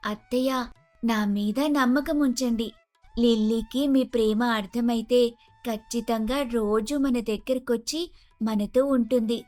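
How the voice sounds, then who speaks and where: Woman playing a high-voiced earnest young daughter-in-law. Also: steady tone, a little slow, a little mellow, no room sound, natural voice. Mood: persuasive. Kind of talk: animated moral-story voice acting. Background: faint music.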